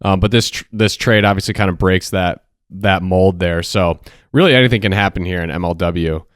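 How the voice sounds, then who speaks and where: A man co-hosting a casual sports podcast, talking in a hesitant way with many pauses. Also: low voice, clean tone, no room sound, studio quality. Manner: hesitant, lots of ums. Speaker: podcast co-host.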